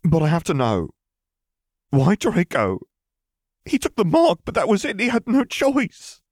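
A man voicing a young witch in an audiobook, sharp-tongued and fearful, with urgent, emphatic delivery. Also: medium pitch, animated, a little fast, no room sound, clean studio sound, voice pushed up. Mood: fearful, earnest.

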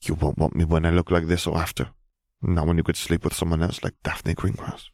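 A man's voice: low voice, completely flat delivery, brisk, a little mellow, dry recording, clean studio sound. A man voicing a jealous, hot-tempered pregnant young woman, part bird, who sounds sulky and accusing. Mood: hurt, accusing.

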